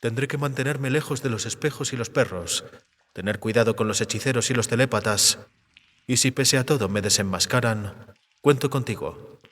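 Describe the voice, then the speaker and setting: A man voicing an urbane, cautious, learned male vampire in an audiobook drama, measured, erudite and resigned. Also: mid-range voice, a bit bright, lightly acted. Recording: cave-like reverb.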